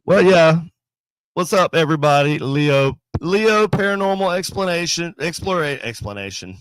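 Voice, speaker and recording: A man co-hosting a paranormal podcast, chatting casually with a nasal, congested voice from a sinus cold, rambling and joking and giving animated shout-outs to viewers. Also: a little high, normal speed, smooth, dry recording, poor audio. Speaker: podcast co-host. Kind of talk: casual chat.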